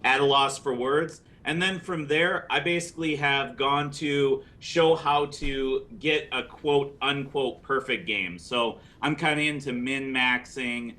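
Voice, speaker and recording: A man's voice: medium pitch, unhurried, few pauses, sharp tone. A man on a podcast talking about his gaming videos in an easygoing, matter-of-fact way. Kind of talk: casual podcast chat.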